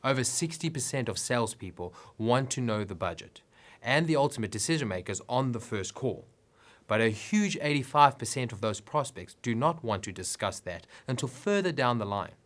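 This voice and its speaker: A man's voice: mid-range voice, animated, normal speed, a bit bright. A man reading scripted business advice narration in an instructive way with emphatic phrasing.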